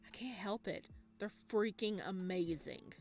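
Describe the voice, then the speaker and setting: A woman narrating a visual novel in a playful let's play, chatty and giggly, with expressive reactions. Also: mid-range voice, measured pace. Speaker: gaming video host reading a visual novel aloud. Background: game music.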